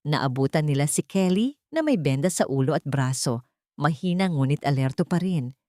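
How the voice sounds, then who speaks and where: A woman's voice: deep voice, animated, normal speed, darkish tone, no room sound, studio quality. A woman narrating a scripted romantic story in an emotive, dramatic way. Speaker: narrator of romantic audio stories.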